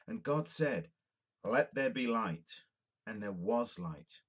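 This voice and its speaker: Low, husky man reading scripture. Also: animated, slow pace. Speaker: amateur Bible reader.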